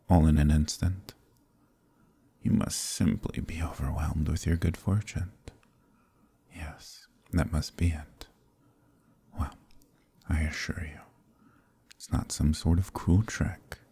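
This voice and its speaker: Very deep, gravelly and dark, this is a suave, possessive demon prince, played by a man in an audio roleplay. He murmurs close to the mic in a husky, purring near-whisper, commanding and indulgent at once. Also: heavily acted. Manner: hushed, purring, often whispering. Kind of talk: ASMR roleplay.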